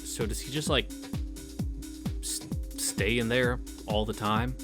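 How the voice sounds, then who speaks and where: A man with a medium-pitched voice reads visual novel narration aloud. He slips into casual asides as host of the playthrough, with game music clearly behind him. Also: steady tone, slow.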